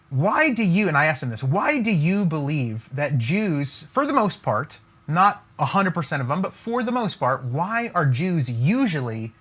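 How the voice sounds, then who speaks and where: Animated, punchy male business coach. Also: fairly high pitch, husky. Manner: emphatic, keeps asking "right?" and "okay?". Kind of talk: motivational training at a whiteboard. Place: room with a whiteboard.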